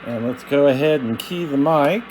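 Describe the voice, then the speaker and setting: A man giving a casual hands-on demonstration as host of a hobby video, talking in a halting, plainspoken, methodical way. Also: a little high, background noise.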